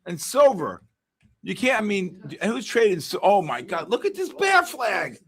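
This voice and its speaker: Bronx guy yelling about charts, kinda high voice, cracking jokes nonstop. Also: very animated, measured pace. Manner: loud, exclamatory, wisecracking. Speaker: trading show host. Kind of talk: excited live market commentary.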